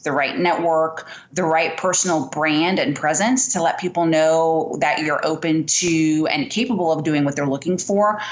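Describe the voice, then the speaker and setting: A woman explaining her views on careers, speaking quickly and fluently. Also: low voice.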